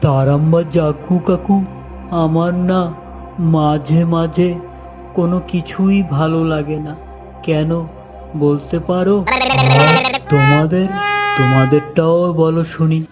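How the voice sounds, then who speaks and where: A man's voice: fairly high pitch, slow, long pauses, faint music behind. A man voicing a discontented, sleepy youngster in a cartoon, whining plaintively. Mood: whiny.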